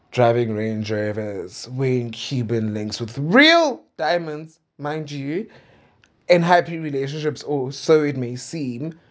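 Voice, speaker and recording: A man in his twenties with a South African accent speaks in a fairly high voice. He talks as if recording a personal diary, casual, candid and conversational, with playful asides. The sound is a little dull and lo-fi. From South Africa, suburban Centurion. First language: Pedi. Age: 20s. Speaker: host of a personal diary podcast. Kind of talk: casual confessional monologue.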